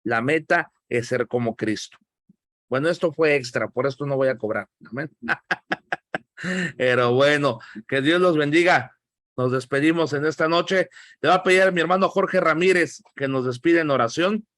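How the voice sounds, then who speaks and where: A middle-aged Mexican pastor teaching a Bible class over a video call, emphatic and teasing as he scolds his listeners. His voice sits a bit high for a man. The sound is dry and close, with no room around it. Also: normal speed, a bit bright, dry recording. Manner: joking, emphatic, scolding in a friendly way.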